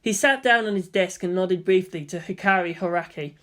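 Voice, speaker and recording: A woman's voice: on the low side, brisk, fairly bright tone. A woman reading scripted story narration as the narrator.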